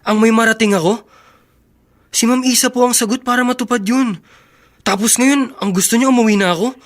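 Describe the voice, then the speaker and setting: A man's voice: very high-pitched, fairly even intonation, brisk, fairly bright tone. A troubled young son, respectful and hesitant. He speaks as a polite student in a radio drama. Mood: pleading, confused.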